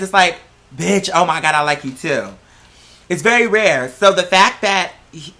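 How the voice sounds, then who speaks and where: A man telling personal stories as a host in a casual, sassy, dramatic way, with animated emphasis and lots of slang and swearing. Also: high voice. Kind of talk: casual chat, personal storytelling.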